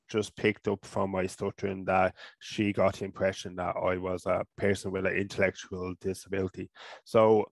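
Irish man with a stutter, gravelly. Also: on the low side, dry recording. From Ireland. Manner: stuttering, halting with restarts. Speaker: guest. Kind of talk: casual interview talk. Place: small room at home. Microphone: headset mic.

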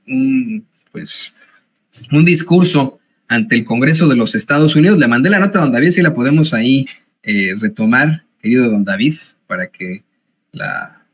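A man's voice: medium pitch, lively intonation, moderate pace, a bit bright, husky. Guy in his 40s doing news commentary at home on a webcam, lots of ums and restarts. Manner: hesitant, many fillers and restarts. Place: home office with bookshelves.

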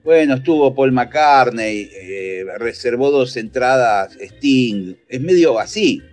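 A man's voice: medium pitch, slow, soft background music. A middle-aged man with an Argentine accent, hosting an interview over a video call and asking loose, hesitant questions. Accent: Argentine Spanish. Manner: relaxed, hesitant questions with fillers. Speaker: radio host. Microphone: video call with a mic.

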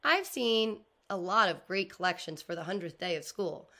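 Woman reading as a curious, animated male schoolteacher. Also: lowish voice, moderate pace. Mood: curious, impressed.

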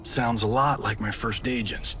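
An actor playing a cocky, wisecracking movie star in a video game cutscene, sarcastic and teasing. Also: male voice, fairly low pitch, moderate pace, a little hiss or hum. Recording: game audio with loud score.

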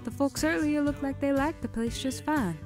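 A woman's voice: high voice, normal speed, music in the background. A woman voicing a sassy, unimpressed employee with a casual manner in a comic dub. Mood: puzzled.

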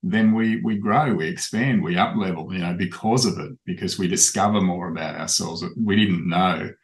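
Aussie guy with a really low voice, calm coachy talk on a home webcam. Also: normal speed, rough recording. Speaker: life coach. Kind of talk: reflective coaching talk to camera. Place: indoors in a small home room.

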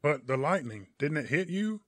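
A man voicing a plucky, wry male superhero with a gravelly, husky voice in an audiobook. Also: on the high side, big pitch swings, moderate pace, fairly bright tone, no room sound. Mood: challenging.

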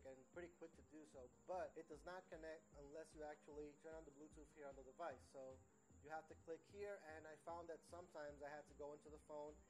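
A man in his forties with a slightly high voice gives a casual product demonstration. He explains things step by step in a plain-spoken way, like a hands-on reviewer. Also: darkish tone, low-quality recording. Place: small indoor room, seated at a table. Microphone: standalone audio recorder on the table.

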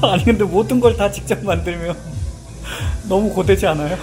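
A man's voice: high-pitched, fairly quick, over music. A man touring a small garden cafe, asking questions and exclaiming over everything in casual chat. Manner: curious, full of exclamations and questions. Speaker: food vlogger visiting a cafe.

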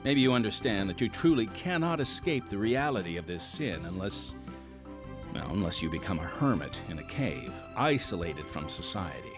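A man hosting a podcast in scripted narration, theatrical and folksy, with dramatic pauses. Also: low-pitched, big pitch swings. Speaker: podcast host and announcer. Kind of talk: scripted narration, radio host. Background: music.

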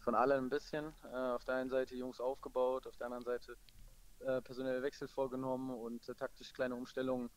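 A man gives a post-match interview as a football coach. He talks quickly and keeps his pitch very even. His manner is measured and matter-of-fact, at a middle pitch.

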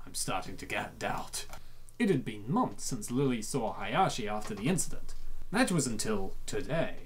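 Excitable host reading a comic out loud, very animated, dramatic, repeats stuff. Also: medium pitch, normal speed, a little hiss or hum. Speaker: host of comic dub show. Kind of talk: reacting to and reading a web comic aloud.

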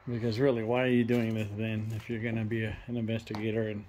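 A young man filming a ghost-hunting vlog in a dark abandoned farmhouse, talking to spirits and loudly challenging them. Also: fairly low pitch, monotone, quick pace, slight background noise. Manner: loud provoking challenges to the spirits. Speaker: paranormal investigator.

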